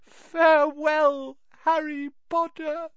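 A man voicing a small, devoted male house-elf servant in an audiobook, shrill and emphatic, speaking of himself in the third person. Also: level tone, slow, fairly bright tone, dry recording, dull audio, full character voice. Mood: tearful adoration. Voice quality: shrill, squealing.

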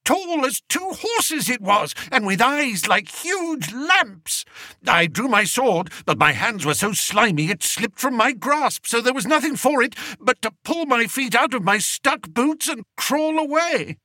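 A man voicing a cowardly, pompous king who wails and stammers in a high, shrill voice. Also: big pitch swings, a little slow, sharp tone, dry recording, exaggerated voice. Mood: indignant. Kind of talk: audiobook character voice.

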